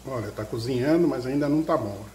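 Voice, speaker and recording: A man's voice: medium pitch, quick pace, slight background noise. Homely man talking through a home recipe. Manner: homely, explains each step with diminutives. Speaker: home cook, husband of the channel host. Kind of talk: casual cooking vlog narration. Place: home kitchen, overhead camera on the counter. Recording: echoey kitchen with loud clatter of dishes.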